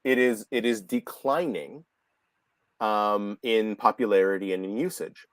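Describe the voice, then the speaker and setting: Animated Canadian man on a video call. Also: fairly low pitch, unhurried, no room sound. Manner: animated, restarts words often, repeats phrases. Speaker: host. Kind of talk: casual video call chat. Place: office room, indoors. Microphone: video call webcam.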